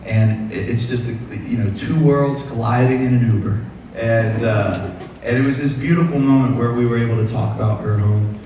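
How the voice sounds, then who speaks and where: A man's voice: mid-range voice, moderate pace, warm tone, a little hiss or hum. A young man from Nashville, fronting a band and telling a rambling, self-deprecating story between songs on stage. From Nashville, USA. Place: large concert club stage. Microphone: stage vocal mic through PA. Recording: echoey live concert hall from far off.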